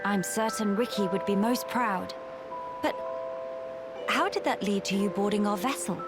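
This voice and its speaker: A woman's voice: mid-range voice, music in the background, natural voice. An actress playing a composed, formal young noblewoman in a video game cutscene. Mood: grave, measured. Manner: formal, measured, stately diction.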